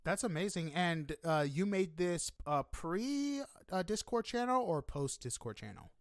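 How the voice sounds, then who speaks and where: Casual male interviewer, higher-pitched voice. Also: unhurried, no echo, studio quality. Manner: effusive, informal, animated reactions. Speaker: podcast interviewer.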